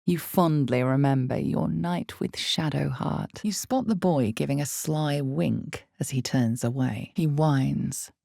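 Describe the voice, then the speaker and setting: A woman voicing an ominous, all-knowing narrator of a dark fantasy video game, speaking in slow, deliberate, dramatic storytelling with a creaky low voice. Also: dry recording, clean studio sound, exaggerated voice. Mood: ominous, measured. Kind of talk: scripted second-person dark fantasy narration.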